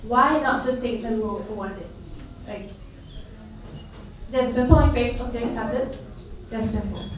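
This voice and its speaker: A woman's voice: fairly high pitch, moderate pace. A woman in the audience asking a question, tentative and hesitant. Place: audience of an arts venue hall. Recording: echoey hall, distant, noisy.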